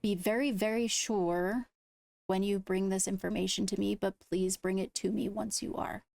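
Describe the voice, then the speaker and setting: A woman acts out a caring female ruler addressing her court in a Minecraft roleplay. Her voice is mid-pitched with plenty of creak, recorded close and dry. She chooses words carefully and reassures as she goes. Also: fairly even intonation, moderate pace, darkish tone, no echo, natural voice. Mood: earnest, measured. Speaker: player leading a roleplay court.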